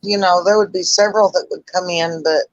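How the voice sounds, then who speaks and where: A woman chatting casually on a livestream call, talking loudly and rambling. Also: fairly low pitch, fairly even intonation, fairly quick, muffled recording. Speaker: livestream guest.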